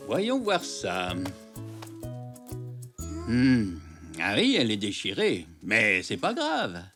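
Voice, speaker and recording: Kindly old zebra grandfather, playful, leisurely, hugely varied pitch, cartoon dub, music behind. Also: exaggerated voice. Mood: reassuring. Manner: unhurried, reassuring, expressive. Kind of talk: children's cartoon dubbing.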